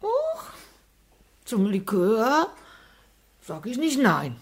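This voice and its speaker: Slow, croaky servant woman. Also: on the high side, huge pitch swings, full character voice. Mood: homely, pleased. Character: plain-spoken loyal female household servant. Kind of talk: radio drama acting.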